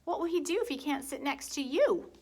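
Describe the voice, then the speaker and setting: Woman reading patient big brother, coaxing, upbeat, fairly high voice. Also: expressive, fairly quick. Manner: gently persuasive, upbeat.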